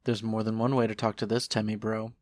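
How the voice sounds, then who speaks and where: A man's voice: on the low side, level tone, brisk, darkish tone, dry recording, close to an everyday voice. A man playing a sly, laid-back skeleton hustler with a casual, unbothered way of talking in a fan audio drama.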